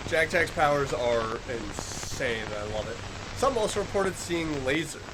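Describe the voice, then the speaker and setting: Higher-voiced man reacting casually, noisy background. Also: very animated, unhurried. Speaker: reaction video host.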